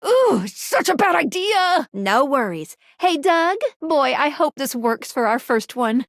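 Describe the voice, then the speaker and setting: A woman's voice: high-pitched, sing-song, slow, dry recording, studio quality, exaggerated voice. A woman voicing a perky, quirky young female guide in a dating video game, with a bubbly, playful, theatrical delivery. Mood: bubbly, teasing. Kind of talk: animated video game voice acting.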